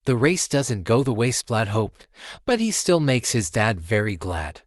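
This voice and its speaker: A man reads a children's storybook in an expressive, animated way. His middling voice moves freely up and down at an unhurried pace. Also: mid-range voice, a little hiss or hum. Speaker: storytime narrator.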